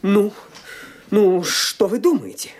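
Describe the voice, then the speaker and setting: A high, husky young gentleman in an audio drama. He is anxious and evasive, with an emphatic, halting delivery that swings widely in pitch. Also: sing-song, exaggerated voice.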